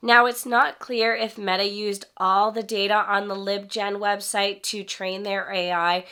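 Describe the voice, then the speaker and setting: Woman vlog host, stuffy from a cold, bright tone, indignant direct address. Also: medium pitch, smooth. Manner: animated and indignant, talks straight to viewers. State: congested, recovering from a cold. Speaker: author and YouTube host. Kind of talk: direct-to-camera vlog commentary.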